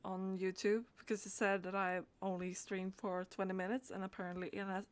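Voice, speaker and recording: A woman's voice: mid-range voice, level tone, a little fast. A woman streamer chatting casually in a hesitant, rambling, giggly way. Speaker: live streamer. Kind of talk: casual livestream chat.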